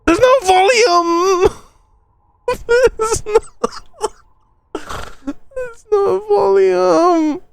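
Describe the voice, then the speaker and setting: A woman's voice: very high voice, very slow, gravelly, pauses often, dry recording. A woman streaming a game live, reacting with dramatic, sweary exasperation and pleading.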